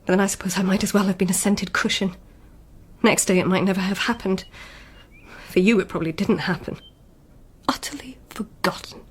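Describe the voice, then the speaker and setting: An actress playing a court lady who speaks in a hushed, reproachful whisper in a radio drama. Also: medium pitch, steady tone, brisk, long pauses, slightly rough, lightly acted.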